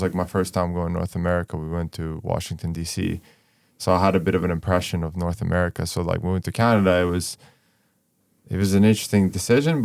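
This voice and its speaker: Low-voiced man talking loosely. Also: vocal fry. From Ukraine. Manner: relaxed, rambling, many fillers and restarts. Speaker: guest, artist. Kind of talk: casual interview talk.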